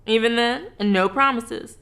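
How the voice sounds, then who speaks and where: Playful middle-aged wife, higher-pitched, deadpan teasing, casual audio drama dialogue. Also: female voice, normal speed. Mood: playful, affectionate.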